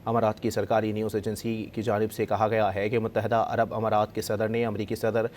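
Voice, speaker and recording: A man's voice: lowish voice, monotone, brisk, a little mellow, slight background noise. A man with a Pakistani accent reading breaking news as an anchor, in a formal, insistent delivery. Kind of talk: breaking news bulletin in Urdu, repeated formally.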